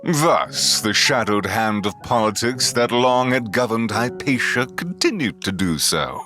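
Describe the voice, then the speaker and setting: A man's voice: mid-range voice, big pitch swings, a little slow, bright, forceful tone, faint music behind. A man playing a solemn chronicler, delivering portentous, dramatic narration for an audio drama. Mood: solemn, foreboding.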